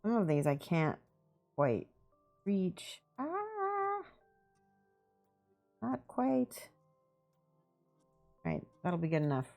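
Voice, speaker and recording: A woman's voice: medium pitch, drawn-out words, gravelly, long pauses, faint music behind. A woman with a Midwestern accent, chatting casually on a live stream while she paints miniatures, talking her steps through aloud. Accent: Midwestern American. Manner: relaxed, thinking aloud, greeting chat viewers.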